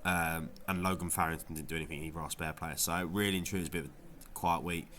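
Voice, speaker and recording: A deep-pitched man whose voice comes out nasal from a head cold. His tone is bright and forward, and he talks through his results in a loose, rambling way, full of ums and false starts. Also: steady tone, quick pace. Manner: rambling, lots of ums and restarts. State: has a cold, sounds nasal. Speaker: fantasy football video creator. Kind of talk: casual gameweek review vlog.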